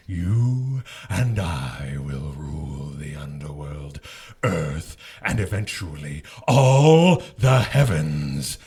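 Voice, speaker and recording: A man playing a scheming, seductive demon lord in an audio drama, with a husky rasp and a mocking, persuasive manner. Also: very deep voice, darkish tone, heavily acted. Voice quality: husky, raspy. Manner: slow, mocking, theatrical persuasion. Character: scheming male demon lord, seductive tempter hungry for power.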